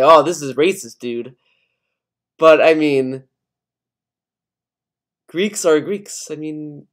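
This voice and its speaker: An American man from Connecticut with a voice pitched a little high, ranting in a casual vlog. He rambles, swears freely and sounds defensive as he circles back over his points. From Connecticut, USA. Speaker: YouTuber.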